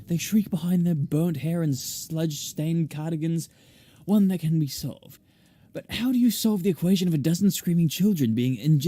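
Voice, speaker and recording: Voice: medium pitch, dark tone, no room sound, lightly acted. A game player voicing a macabre, theatrical woman, a former shaman who tells grandiose, droll tales. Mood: grimly dramatic.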